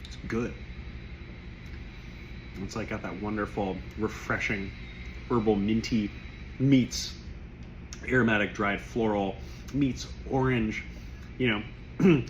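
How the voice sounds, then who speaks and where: A man whose voice is going hoarse after a cough. He talks in loose, rambling bursts about the wine in front of him, pausing to clear his throat. His pitch sits in the middle, and faint room noise hums behind him. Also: lo-fi sound. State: losing his voice. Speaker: wine shop owner tasting wines. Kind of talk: casual tasting video.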